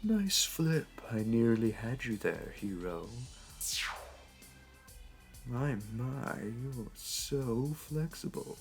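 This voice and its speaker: A man's voice: mid-range voice, big pitch swings, extremely slow, full character voice. A man playing a suave, flirty supervillain in an audio roleplay, with a teasing, purring, theatrical delivery. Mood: flirty, admiring. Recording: music under the voice. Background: music.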